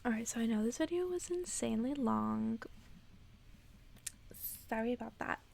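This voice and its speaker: A woman ASMR host whispering softly and close to the microphone in a breathy voice, as if reading bedtime stories aloud. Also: on the high side, animated, normal speed, noisy background. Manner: whispering, soft-spoken.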